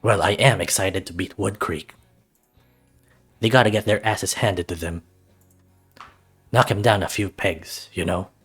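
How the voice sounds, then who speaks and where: A man's voice: deep voice, unhurried, pauses often, a bit bright, breathy edge, soft background music, exaggerated voice. A man voicing a confident, blunt young soccer team captain in a visual novel game. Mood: cocky.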